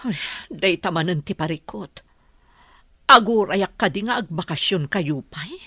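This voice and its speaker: A woman playing an anxious mother of grown sons, yearning for her child, with a husky voice in a Philippine radio drama. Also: lowish voice, lively intonation, a little slow, sharp tone, no echo, clean studio sound, full character voice. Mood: yearning, worried.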